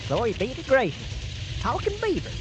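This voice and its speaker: An actor playing a folksy, easily rattled small-town deputy with a Southern drawl in a comic radio drama. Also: male voice, extremely high pitch, wildly swooping pitch, normal speed, background noise, heavily acted. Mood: astonished. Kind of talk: old-time comic radio acting.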